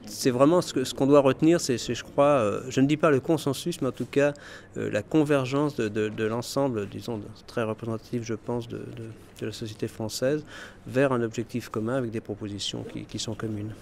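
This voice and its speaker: A man with a strongly creaky, mid-pitched voice answers an interview haltingly, searching for his words. There is faint noise behind him. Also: fairly even intonation, a little mellow. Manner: hesitant, groping for words.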